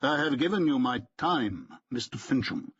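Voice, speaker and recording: A pompous, stiff barrister, as a male audiobook reader puts him on, speaking in a haughty, clipped, precise way. The voice is a little husky. The sound is dull and lo-fi. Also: mid-range voice, animated, normal speed, a bit bright, no echo.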